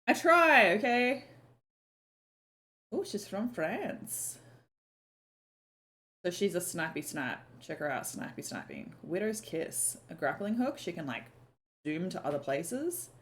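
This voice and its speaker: A young woman chats easily to her stream, her slightly croaky voice sitting a little low. She talks in loose, rambling runs full of filler words and the odd swear. Her pitch swings widely as she goes. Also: a bit bright, slight background noise. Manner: chatty, lots of "like" and "um", swears casually. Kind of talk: casual chat on a live stream.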